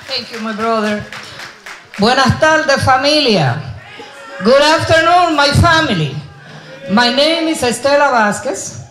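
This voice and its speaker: An older woman addresses a crowd from an outdoor stage, her handheld mic ringing through the speakers. She talks at an unhurried, deliberate pace, stacking repeated phrases for emphasis. Her voice sits high. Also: animated, fairly bright tone, a little hiss or hum. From Dominican Republic. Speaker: rally speaker. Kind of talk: impassioned outdoor rally speech. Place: outdoor stage in front of a mural. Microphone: handheld microphone. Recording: echoey outdoor PA sound.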